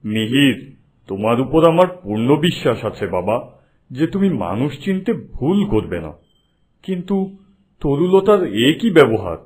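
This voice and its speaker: A man's voice: mid-range voice, sing-song, moderate pace, natural voice. A man voicing a kindly older king in a cartoon who speaks to his son in a fatherly way. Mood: reasoning. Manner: warm fatherly, commanding to servants. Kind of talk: Bengali cartoon dubbing.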